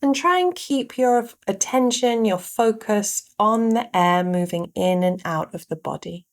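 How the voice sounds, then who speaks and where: A woman guiding a meditation, giving gentle instructions in short phrases with pauses between them. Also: mid-range voice, expressive. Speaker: meditation guide. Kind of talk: guided meditation narration.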